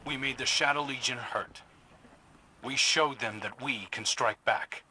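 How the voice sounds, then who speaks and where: An actor playing a determined, hard-edged sci-fi agent bent on revenge, giving terse orders in a video game. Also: male voice, mid-range voice, a little slow, slight background noise, low-quality recording. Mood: firm. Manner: terse, resolute. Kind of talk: dramatic video game radio dialogue. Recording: very loud music and machinery behind.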